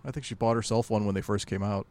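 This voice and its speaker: A man's voice: on the low side, fairly even intonation, quick pace, darkish tone, dry recording. An American man chatting casually as a podcast co-host, agreeable and given to brief interjections. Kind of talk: casual chat.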